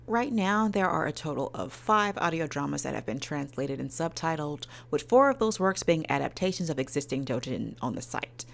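Lady doing a podcast review, deep for a woman, lots of fry, hyped and playful. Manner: enthusiastic, emphatic, playful. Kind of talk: semi-scripted podcast review.

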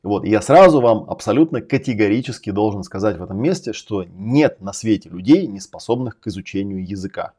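Fast-talking man with a low, mellow voice. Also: lively intonation. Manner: emphatic, categorical lecturing with frequent swearing. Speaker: host and language-learning coach. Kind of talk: casual live-stream monologue.

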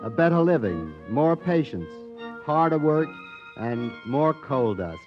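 A man's voice: on the high side, big pitch swings, slow. A man narrating an old-time radio drama in a scripted, storytelling style. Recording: muffled old radio recording with music.

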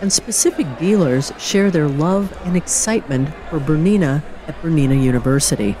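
A woman's voice: sits low, normal speed, mellow, slight background noise, poor audio. A woman giving upbeat, scripted narration for an event video. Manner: upbeat promotional delivery.

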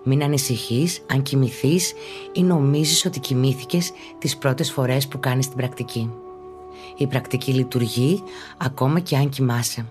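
A woman calmly narrates a guided relaxation practice as a teacher, in a measured, instructional way. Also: a bass voice, faint music behind. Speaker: yoga and meditation teacher, podcast host.

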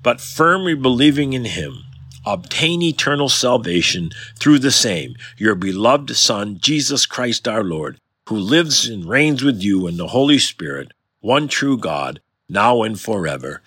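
A man, a pastor, giving a devotional with scripture reading and prayer in a solemn, measured, formal way. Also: fairly low pitch, very animated, fairly bright tone, slightly rough. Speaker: pastor and podcast host.

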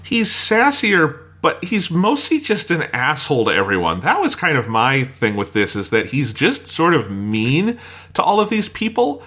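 A man's voice: fairly high pitch, very animated, normal speed, fairly bright tone, breathy edge. A man talking casually as a podcast co-host, giving opinionated and blunt reviews.

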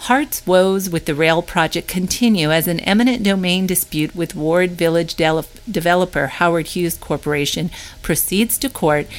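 A woman with a slightly low voice reads a written news update aloud. She delivers it as scripted narration, in the role of a newsletter reader going through items one after another.

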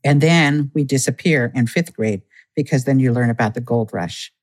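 An earnest man is an interview guest who lays out his points in a steady, explanatory way. His voice sits at a middling pitch for a man, and he speaks calmly and with conviction. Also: moderate pace. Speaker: guest, Native community organizer. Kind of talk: conversational interview.

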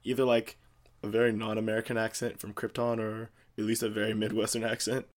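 A man's voice: fairly low pitch, monotone, brisk. A man co-hosting a casual podcast about movies, joking wryly and sarcastically. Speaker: podcast co-host.

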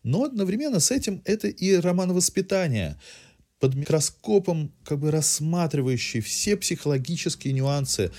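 A man's voice: a little high, big pitch swings, normal speed, darkish tone. A man hosting a podcast, delivering a semi-scripted cultural review in erudite, literary phrasing.

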